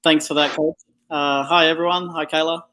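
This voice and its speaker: A man's voice: on the high side, level tone, normal speed, pushed and bright, low-quality recording. A young Australian man in his twenties, talking casually as an athlete guest in an interview. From Darwin, Australia. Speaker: college wheelchair basketball player, guest.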